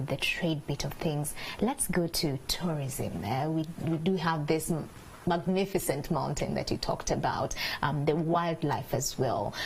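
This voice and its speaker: Lower-voiced woman hosting an interview. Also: a little mellow, slight background noise, rough recording. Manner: engaging, prompting with questions and quick affirmations. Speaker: interview host. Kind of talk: studio interview.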